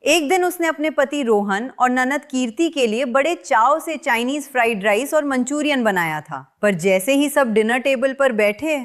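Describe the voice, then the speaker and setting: A woman narrating a cartoon family story in a steady, expressive way. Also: high voice, moderate pace, few pauses.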